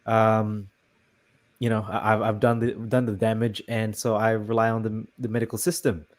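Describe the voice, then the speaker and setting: A man's voice: fairly low pitch, flat delivery, normal speed. A man hosting a casual interview, talking in a hesitant, agreeable way full of restarts. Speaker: host.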